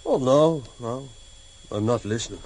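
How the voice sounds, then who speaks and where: A surly henchman, acted by a man in an old radio serial. His voice is middling in pitch, with a blunt, offhand grumble. The vintage recording carries slight noise. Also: unhurried, close to an everyday voice. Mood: grumbling. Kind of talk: vintage radio drama acting.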